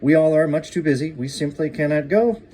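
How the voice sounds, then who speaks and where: A man's voice: fairly high pitch, brisk, dark tone, a little hiss or hum, natural voice, poor audio. Man playing curt, haughty storybook noblemen. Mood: dismissive. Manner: curt, dismissive. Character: haughty great men curtly refusing a royal invitation.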